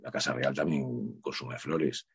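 Man, very deep husky voice, rambling guest with lots of restarts. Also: steady tone, unhurried. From Madrid, Spain. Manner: self-deprecating, rambling with many restarts and fillers. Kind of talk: casual interview chat.

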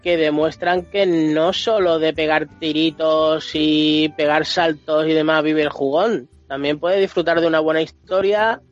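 A man's voice: on the high side, fairly even intonation, measured pace, hardly any pauses. A man with an accent from Spain chatting casually as a podcast panelist, colloquial and emphatic, repeating himself to make his point. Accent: Spanish from Spain. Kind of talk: casual opinionated chat about games. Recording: muffled podcast audio, music underneath.

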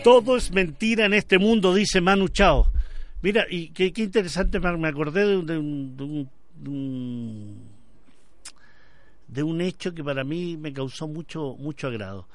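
A man's voice: fairly high pitch, measured pace, dry recording, faint music behind. A Chilean man hosting a conversational radio interview, often repeating words as he searches for a phrase. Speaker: radio show host.

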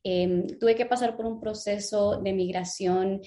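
Guest, woman in her 30s, from Guatemala; earnest storyteller, mid-pitched, filler-heavy. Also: fairly even intonation, a little slow. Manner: warm faith-filled storytelling with frequent "eh" fillers. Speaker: interview guest, lettering teacher and business owner. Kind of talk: casual interview conversation.

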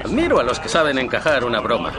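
A man's voice: mid-range voice, big pitch swings, fast, a crowd in the background, lightly acted. An actor playing a chatty, jovial, patronising man who talks down to a lad in a teasing, folksy way, in a dubbed drama. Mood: jovial, needling.

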